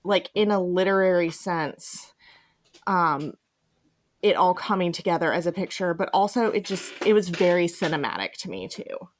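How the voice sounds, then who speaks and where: A woman's voice: fairly low pitch, lively intonation, moderate pace. A woman hosting a podcast, chatting casually and enthusiastically about fan fiction, swearing freely. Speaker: podcast host.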